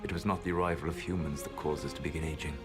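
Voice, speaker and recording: A deep, grave ancient elf mage. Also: male voice, steady tone. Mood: solemn. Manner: measured, grave, quietly regretful. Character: ancient male elf mage, calm, secretive, proud. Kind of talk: video game voice acting. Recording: game audio with music score.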